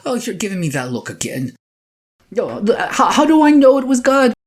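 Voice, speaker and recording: A woman playing a sheepish, excitable eighty-year-old shepherd husband in an audio drama monologue, stammering and halting as he talks to his wife. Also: on the high side, slightly rough. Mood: flustered, earnest, then earnest, remorseful. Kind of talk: audio drama monologue, one side of a conversation.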